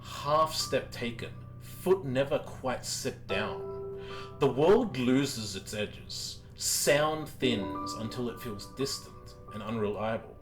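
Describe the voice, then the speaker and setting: A man narrates as game master in a slow, solemn storytelling voice, pausing deliberately between phrases. His pitch sits in an ordinary middle range. Loud guitar music plays behind him throughout. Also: animated, poor audio. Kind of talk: dramatic narration of a tabletop game.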